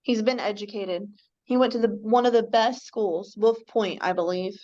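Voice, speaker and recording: A woman's voice: mid-range voice, normal speed, warm tone, highs cut off, close to an everyday voice. A woman voicing a clever, scheming male baboon with a dry, sardonic delivery, in an amateur radio drama table read.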